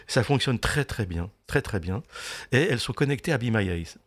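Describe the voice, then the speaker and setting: A man's voice: fairly low pitch, lively intonation, moderate pace. A man hosting a local radio talk show, explaining things to listeners in a chatty way, with frequent restarts.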